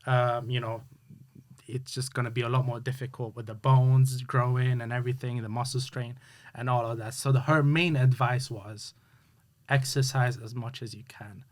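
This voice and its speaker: A man talks through his story as an interview guest. His voice sits at an ordinary middle pitch. He speaks earnestly and halts often, with plenty of fillers and restarts. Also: level tone, normal speed. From Hungary. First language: Hungarian. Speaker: guest, youth sports coach. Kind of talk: casual interview chat.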